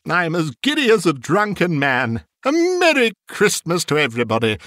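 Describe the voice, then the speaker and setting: A man's voice: fairly high pitch, lively intonation, measured pace, fairly bright tone, no room sound, studio quality, pitched above the performer's own voice. A man voicing a reformed old miser bursting with joy and tearful emotion, exclaiming and whooping in a dramatic storybook reading. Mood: giddy, elated. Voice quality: voice breaking with emotion.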